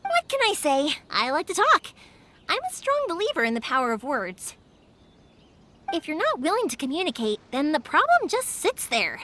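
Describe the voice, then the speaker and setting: A bubbly, chatty young fireworks maker, high-voiced and lively in her melody. She talks readily and encourages others. Soft game music sits behind her. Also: normal speed, full character voice. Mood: upbeat. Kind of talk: game cutscene dub.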